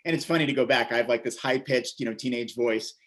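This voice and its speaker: A man's voice: medium pitch, fast, a bit bright. An American man in his forties telling stories casually as an interview guest, rambling and repeating his words as he goes. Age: 40s. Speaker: interview guest, vegan bodybuilder and author.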